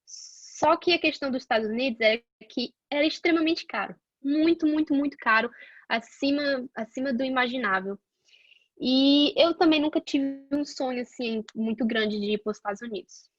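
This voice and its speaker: A woman's voice: sits high, normal speed, dry recording, dull audio. A young woman from Portugal telling her own career story in a casual, chatty way, with many fillers and restarts. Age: young adult. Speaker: guest scientist sharing her career path.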